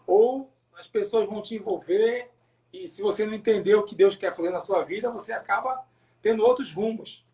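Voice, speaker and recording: A man from Pará with a northern Brazilian accent telling his personal testimony as a pastor in a casual interview, haltingly, repeating words and adding tag questions. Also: high voice, dark tone. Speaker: pastor, podcast guest.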